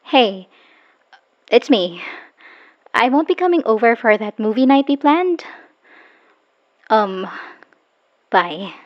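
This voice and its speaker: A slightly muffled recording carries a high female voice, an actress as a woman worn out by an abusive relationship. She is bitter and scornful, hitting her words hard like someone finally leaving a furious goodbye voicemail in an audio drama. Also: sing-song, takes it slowly, pauses often. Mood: subdued, awkward. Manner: scornful, swearing, emphatic. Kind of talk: dramatic audio roleplay monologue, spoken as a voicemail.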